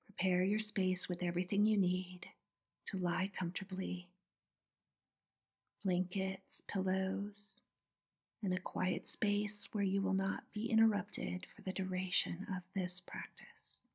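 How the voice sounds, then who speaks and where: A woman softly narrating a guided meditation in a soothing, breathy manner. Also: mid-range voice, level tone, slow. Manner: soft, breathy, soothing delivery.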